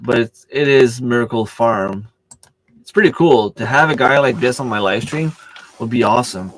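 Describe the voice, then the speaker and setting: A man's voice: mid-range voice, moderate pace, slight background noise, poor audio. A man whose first language is French, hosting a casual live stream. He searches for words, restarts his sentences and answers viewer comments as they come in. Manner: hesitant, searching for words with many fillers and restarts.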